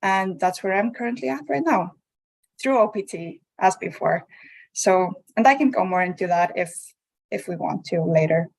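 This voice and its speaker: A young woman from Iceland introducing herself on an online panel, composed and orderly with soft fillers. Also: mid-range voice, expressive, moderate pace, gravelly, low-quality recording. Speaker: alumna panelist.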